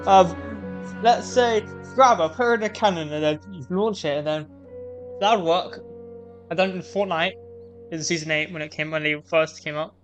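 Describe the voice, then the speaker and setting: Streamer girl with a deep-ish low voice, chatting casually over a game, bit of music behind. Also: moderate pace, lo-fi sound. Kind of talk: casual off-the-cuff stream commentary.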